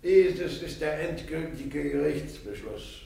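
Solemn church judge, declaiming, high creaky voice, strong echo, radio drama. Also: male voice, lively intonation, slow pace, mellow, heavily acted. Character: solemn, pompous church judge pronouncing sentence. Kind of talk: German radio play acting. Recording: very echoey hall.

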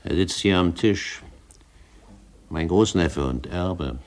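An actor playing an older, calculating pirate captain with a measured, authoritative manner, in a German radio play. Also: male voice, deep voice, a little mellow. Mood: calculating, calm. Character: commanding, calculating older male pirate captain, a great-uncle.

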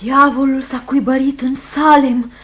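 High, sharp, frightened servant girl. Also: level tone. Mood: agitated, self-important. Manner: tremulous, dramatic. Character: frightened, self-important young servant girl. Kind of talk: Romanian radio theatre acting.

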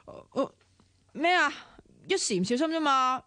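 A woman's voice: high-pitched, animated, measured pace, pushed and bright, no room sound, heavily acted. An actress playing a cheeky, grumbling young woman in a radio drama, with a Hong Kong Cantonese accent. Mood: petulant. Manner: teasing, petulant.

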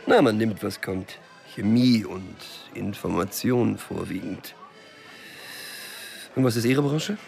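An actor playing a stubborn, wary small-time drug dealer and loner in a radio crime drama, curt, dry and cynical. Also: male voice, on the low side, takes it slowly, creaky, darkish tone, soft background music. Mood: guarded, dry.

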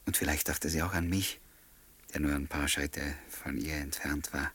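A man's voice: very deep voice, steady tone, normal speed, a bit bright, husky, full character voice. An actor playing an earnest, lovelorn writer who confides his story in a radio play. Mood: wistful, confiding. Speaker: narrator and lead character. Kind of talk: radio play, confiding first-person narration and dialogue.

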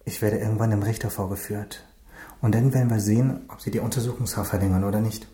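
An actor playing an earnest, protective young gangster's son turned informant in a radio crime drama, plain and urgent. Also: male voice, lowish voice, fairly even intonation, quick pace, dark tone, close to an everyday voice. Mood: subdued, worried. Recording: echoey room.

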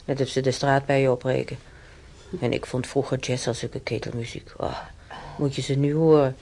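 A woman's voice: rumbling low, level tone, moderate pace, darkish tone. An actress playing a chatty, wistful hospital patient in her seventies in a radio drama, rambling and homely as she reminisces. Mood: grumbling, teasing. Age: 70s. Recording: noisy old radio play recording.

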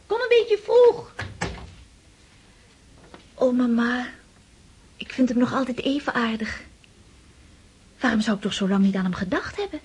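An actress playing an impulsive, affectionate young countess in love in a radio drama, girlish and effusive, with a breathy, husky voice. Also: fairly high pitch, expressive, a little slow, long pauses, darkish tone, slight background noise. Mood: lovestruck. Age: young adult.

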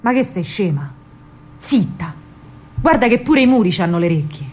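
A woman's voice: medium pitch, lively intonation, normal speed, a little mellow, breathy edge. A woman voicing a big, protective older male bookseller with a Roman accent and an exclamatory, avuncular manner, in a dramatic monologue. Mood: alarmed, hushing.

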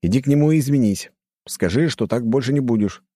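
A man's voice: mid-range voice, lively intonation, fast, warm tone, dry recording, clean studio sound, voice pushed up, close to an everyday voice. A man playing a brash, commanding hunter in an audiobook, with a curt, insistent manner. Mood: exasperated. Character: brash, bossy young male hunter, commanding and exasperated. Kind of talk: audiobook character dialogue.

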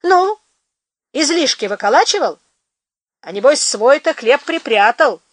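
A woman's voice: a little high, animated, moderate pace, fairly bright tone, dry recording. A woman doing a brusque, bossy man. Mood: gruff. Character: gruff commanding man in charge.

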